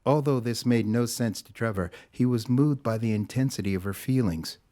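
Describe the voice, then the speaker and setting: A man narrating a scripted story in a dramatic, deliberate storytelling manner. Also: mid-range voice, warm tone.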